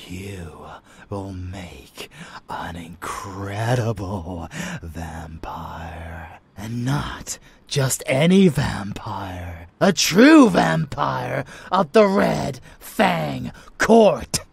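A man acting as an unhinged, seductive male vampire lover in an intimate ASMR audio roleplay, breathy and often whispering, with teasing, menacing affection. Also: on the low side, lively intonation, extremely slow, dry recording, heavily acted. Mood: seductive, proud.

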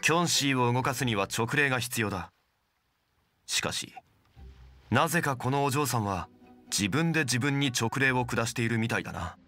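Learned gentleman, forceful tone, expressive pitch, formal, composed, anime game, music behind. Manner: measured, formal, explanatory.

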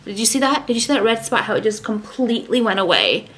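A woman's voice: medium pitch, expressive, fast talker, a bit bright. Woman, 30s, chatty, rambling, self-deprecating, home vlog, camera at arm's length. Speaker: vlogger. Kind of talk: casual vlog chat with product talk. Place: bedroom at home, indoors.

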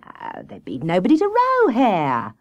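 A woman's voice: medium pitch, wildly swooping pitch, a little slow, dull audio. A woman voicing a fussy male water rat in a children's story, speaking politely but firmly. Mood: cold, firm.

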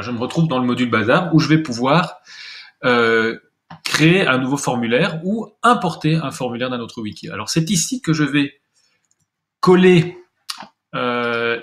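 A man with a medium-pitched voice walks through a software demonstration step by step. He speaks as a tutorial presenter, pausing and thinking aloud as he goes. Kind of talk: screencast tutorial, explaining step by step.

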